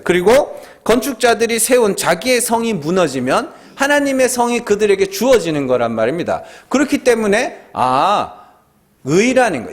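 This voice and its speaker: Animated high-voiced man from Gyeongsang preaching. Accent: Gyeongsang Korean. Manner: conversational preaching with rhetorical questions and prompts; emphatic, swooping delivery. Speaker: pastor. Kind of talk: Friday prayer meeting sermon.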